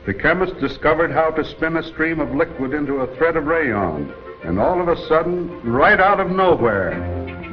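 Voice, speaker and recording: An actor playing a folksy, persuasive older American working man, explaining things emphatically in a 1930s educational film. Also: on the high side. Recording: muffled 1930s film soundtrack, music behind.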